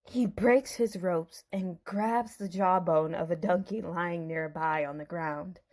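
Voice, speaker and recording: Slow, theatrical woman storyteller. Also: lowish voice, darkish tone. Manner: theatrical, suspenseful. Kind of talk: dramatized Bible story narration.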